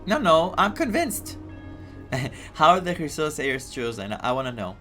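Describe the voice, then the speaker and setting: Expressive male game streamer from Greece. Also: a little high, a little slow. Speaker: gaming streamer. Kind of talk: game playthrough commentary, reading game text aloud. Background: game music.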